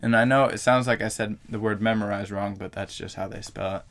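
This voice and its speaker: A young man with a fairly low voice talks straight to his camera in a loose, rambling vlog. He trips over his own words, corrects himself and fills gaps with ums and likes. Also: fairly even intonation, fairly quick, fairly bright tone. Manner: rambling, self-correcting, lots of fillers. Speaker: vlogger.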